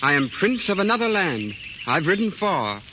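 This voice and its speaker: An actor playing a gallant, romantic young prince with courtly, earnest 1930s radio delivery. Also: male voice, high-pitched, big pitch swings, moderate pace, noisy background. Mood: ardent. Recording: muffled 1930s radio broadcast with music.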